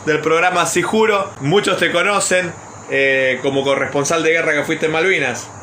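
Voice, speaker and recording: A man's voice: fairly high pitch, moderate pace, bright, forceful tone, a little hiss or hum. A man with a Buenos Aires Argentine accent, hosting an informal conversational interview. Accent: Buenos Aires Argentine Spanish. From Buenos Aires province, Argentina. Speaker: interviewer and program host.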